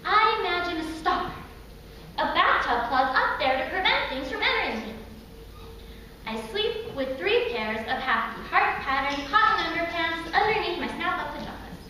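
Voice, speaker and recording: An actress playing a woman recalling her troubled girlhood in a dramatic, halting stage monologue, with childlike fright, recorded in an echoey hall. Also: very high-pitched, big pitch swings, pushed and bright, full character voice. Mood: anxious, childlike. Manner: dramatic, halting, vividly acted delivery. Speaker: stage performer. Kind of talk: theatrical monologue. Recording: echoey hall from far off, faint noise.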